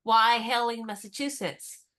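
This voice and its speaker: A woman playing a plucky, independent American in her twenties in a radio comedy, speaking in a brisk, forthright, encouraging way. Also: a little high, pushed and bright. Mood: polite, composed. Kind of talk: radio play comedy dialogue.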